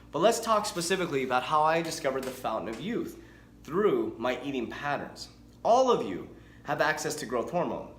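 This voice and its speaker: Man in his forties, fitness coach, bouncy lively pitch, echoey room, faint hiss. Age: 40s. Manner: energetic, chatty, self-correcting. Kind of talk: casual talking-head vlog.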